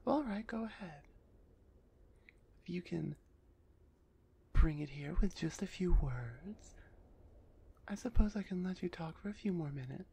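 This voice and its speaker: A woman voicing a big, gruff female minotaur executioner with grudging, teasing menace in an ASMR roleplay. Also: lowish voice, lively intonation, measured pace, long pauses, dark tone, exaggerated voice.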